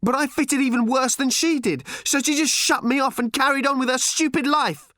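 A man's voice: extremely high pitch, moderate pace, pushed and bright, no room sound, studio quality, pitched above the performer's own voice. A man voicing an anguished, furious teenage girl in an audiobook, shrieking accusations at her father. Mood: bitter.

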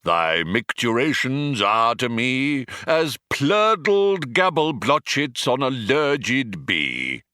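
A man voicing a pompous, merciless alien male poet, with a whirring, strident voice that climbs high as he declaims. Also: sing-song, takes it slowly, hardly any pauses, pushed and bright, exaggerated voice. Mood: impassioned, declaiming.

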